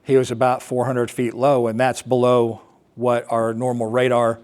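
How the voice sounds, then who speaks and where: An American man giving a personal talk, recounting his experience as an air traffic controller in a halting, explanatory way with pauses. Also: medium pitch, completely flat delivery, a little mellow. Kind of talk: personal storytelling talk to an assembly.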